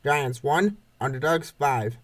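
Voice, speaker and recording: A man's voice: medium pitch, takes it slowly. A man reading scripted play-by-play narration of a comic baseball game. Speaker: narrator of a comic dub series.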